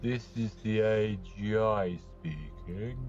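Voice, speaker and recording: Failing sci-fi computer male voice: muffled lo-fi, low, extremely slow, impassive, clipped drama announcements. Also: a little mellow, faint music behind. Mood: impassive warning. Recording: dull lo-fi sound.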